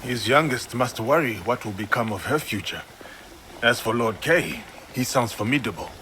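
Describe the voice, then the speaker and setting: A man playing a formal, resolute foreign-born samurai in a video game cutscene, speaking in a measured, grave way. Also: medium pitch, expressive, sharp tone, slight background noise. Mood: probing.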